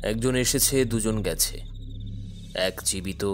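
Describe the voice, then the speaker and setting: An actor playing an enigmatic male investigator who speaks gravely and deliberately in riddles in an audio thriller. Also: on the low side. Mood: cryptic, grave. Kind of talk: Bengali audio thriller acting. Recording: voice over music bed.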